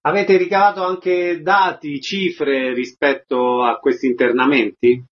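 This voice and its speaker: A man's voice: on the high side, fairly even intonation, a little slow, dull audio. A man presenting a radio programme, announcing and asking questions in a formal, concise way. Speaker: radio host, interviewer. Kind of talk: scripted radio presenting and interviewing.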